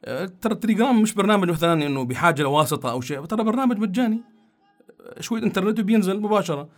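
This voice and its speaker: A man hosting a call-in radio show, friendly and hurried, repeating his greetings to callers. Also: high voice, lively intonation. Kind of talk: casual call-in radio talk.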